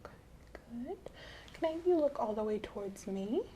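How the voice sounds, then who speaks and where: A woman speaking softly as the examiner in an ASMR medical role-play, gently explaining each step in a reassuring way. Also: fairly high pitch, expressive, a little fast, slight background noise, rough recording. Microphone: clip-on mic.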